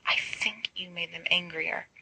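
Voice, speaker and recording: A woman's voice: on the low side, steady tone, a little slow, creaky, pushed and bright, breathy edge, exaggerated voice, rough recording. Woman as a hesitant, mock-heroic teenage boy. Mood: whispering.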